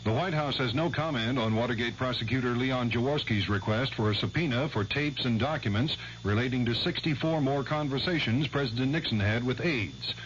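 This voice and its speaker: A man's voice: mid-range voice, fairly quick, hardly any pauses. An American male anchor reads scripted radio news in a mid-range voice. The sound is dull and vintage, with a faint hum under it. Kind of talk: scripted network radio newscast.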